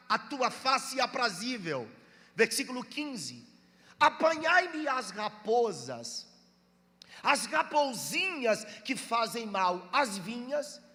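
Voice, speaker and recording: A Brazilian pastor from Bahia with a Bahian accent, preaching a sermon, reading scripture slowly and calling on the congregation to answer. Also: male voice, very high voice, a bit bright, in a large hall. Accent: Bahian Brazilian Portuguese. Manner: reads scripture slowly and drawn out, urges listeners to respond.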